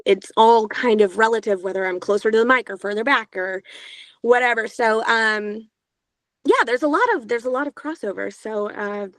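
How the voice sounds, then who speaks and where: A woman's voice: normal speed, no echo. Chatty youthful-sounding woman narrator. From Georgia, US. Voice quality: higher-pitched, younger-sounding voice. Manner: chatty, lots of filler sounds and restarts. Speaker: audiobook narrator, interview guest. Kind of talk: casual interview talk.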